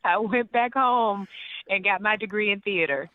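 A young adult woman from Atlanta, an actress telling lively stories in an interview and laughing often. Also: mid-range voice, moderate pace, dry recording. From Atlanta, Georgia. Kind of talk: interview on the phone. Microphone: phone. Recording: tinny phone line, dry.

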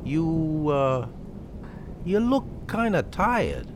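An unsettling male stranger with a casually familiar way of talking, from an old radio thriller. He speaks slowly, his pitch swinging widely, over a faintly noisy vintage recording. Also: sing-song, full character voice. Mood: casual, probing. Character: unsettling, smooth-talking male stranger at lonely gas station.

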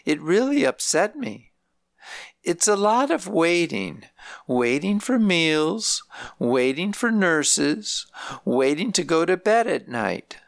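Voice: mid-range voice, very animated, slow, creaky, no room sound, studio quality, voice pushed up, full character voice. A narrator voicing a frail elderly grandmother in a nursing home, speaking in a plaintive, complaining way. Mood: weary complaint. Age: older. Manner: plaintive, soft-spoken complaining.

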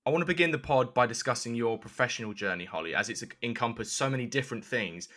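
A man's voice: mid-range voice, animated, fast, over loud music. A man hosting an interview podcast, speaking in an informal, chatty register. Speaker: podcast host. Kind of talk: scripted intro and casual interview.

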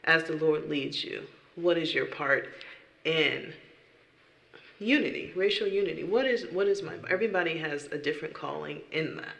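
A woman's voice: low voice, normal speed, vocal fry, fairly bright tone, echoey room. A woman who sings in worship, giving an earnest, emphatic personal testimony in an interview. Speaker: worship singer.

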